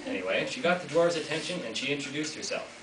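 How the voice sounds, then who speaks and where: A man's voice: fairly high pitch, animated, fast talker. A teenage boy narrating a fairy tale in a school reader's theater, playfully joking with the audience. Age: teens. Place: school classroom or hall. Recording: echoey room from far off, noisy.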